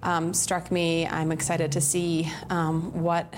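A woman's voice: low-pitched, completely flat delivery, slow pace, a little hiss or hum. A woman from Colorado answering interview questions, hesitant with many fillers. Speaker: early childhood council representative.

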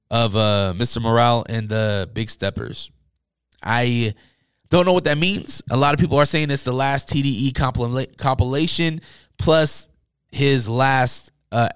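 A man's voice: medium pitch, clean tone, dry recording. Male podcast host, informal and slangy, enthusiastic music chat, voice wearing out from overuse, close dry recording. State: losing his voice from talking all day. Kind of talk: casual music talk podcast. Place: indoors, upstairs room.

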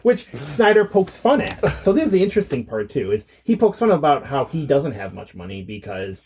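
A man's voice: fairly high pitch, very animated, brisk, a little mellow, a little hiss or hum. A man co-hosting a podcast in casual film discussion, conversational and thinking aloud as he goes. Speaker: podcast co-host.

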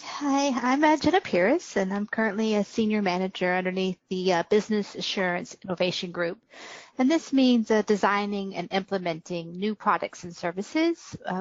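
A woman's voice: medium pitch, dry recording, muffled recording. A woman speaking as a senior manager and podcast guest, explaining business matters in an interview.

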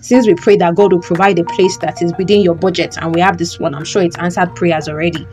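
A woman's voice: on the low side, steady tone, fast talker, darkish tone, soft background music, natural voice. A woman playing a kind, reassuring older female friend in an audio drama, with a Nigerian accent. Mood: caring. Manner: reassuring, repetitive.

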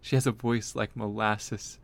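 A young man playing an awkward, earnest character in a comedic audio drama, with a mild and halting way of talking. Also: fairly low pitch, level tone, creaky.

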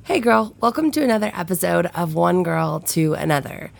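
A woman's voice: sing-song, moderate pace. A young woman hosting her podcast, talking frankly and swearing freely, with a nasal, stuffed-up voice from a head cold and sniffles. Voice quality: low, gravelly, nasal. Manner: frank and profane, with many fillers. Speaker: podcast host. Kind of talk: candid solo podcast chat.

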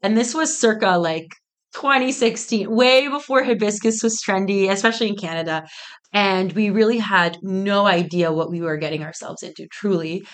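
A woman's voice: medium pitch, normal speed. A woman of about thirty from Egypt, chatting informally as an interview guest and telling stories casually with frequent asides. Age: around 30. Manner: informal, peppered with "like" and quoted asides. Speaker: interview guest, business founder. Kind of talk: casual conversational storytelling.

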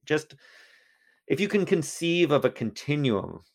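A man's voice: on the high side, big pitch swings, a little slow, vocal fry. A man, a history professor, answering interview questions in a thoughtful way with frequent restarts.

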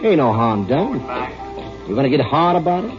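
An actor playing a pushy, menacing Texan stranger with folksy, insistent talk in 1950s radio western style. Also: male voice, on the high side, sing-song, normal speed. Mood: defensive, sullen. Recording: muffled vintage radio broadcast with music.

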